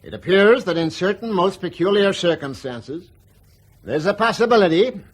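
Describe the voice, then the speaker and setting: A man's voice: high voice, expressive. An actor playing a reassuring, defensive aircraft company spokesman in a radio drama, explaining formally and carefully. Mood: reassuring, placating. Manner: measured, formal explaining with hedged emphasis.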